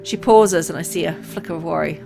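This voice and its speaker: A woman's voice: on the low side, brisk, creaky, music in the background. A woman reading dramatic first-person visual novel narration aloud in a brooding, theatrical way.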